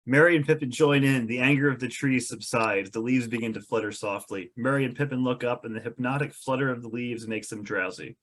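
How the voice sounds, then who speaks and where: A man's voice: medium pitch, steady tone, a little fast, few pauses, fairly bright tone. A man reading scene descriptions as the narrator of a script table read.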